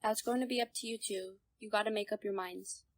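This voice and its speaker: A woman playing a bitter, scolding, bickering mother in a radio drama, blunt and sharp-tongued. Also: medium pitch, fairly even intonation, a little fast, close to an everyday voice. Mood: cold, blunt.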